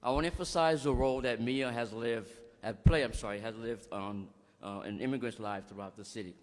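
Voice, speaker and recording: A man speaking at a podium, delivering a formal address that he sometimes restarts mid-sentence. His voice is mid-pitched, with a long reverberant tail, as if it is heard through a hall PA system. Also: fairly even intonation, fairly quick. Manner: formal speech with frequent restarts and thank-yous. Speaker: executive director of a nonprofit. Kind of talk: public speech at a bill signing.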